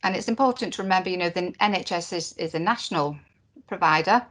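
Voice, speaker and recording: A woman voicing a deaf presenter's signed conference talk into spoken English, in short phrases with pauses and fillers. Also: on the low side, fairly bright tone. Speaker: sign language interpreter voicing a deaf presenter. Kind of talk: live voiced interpretation of a conference talk.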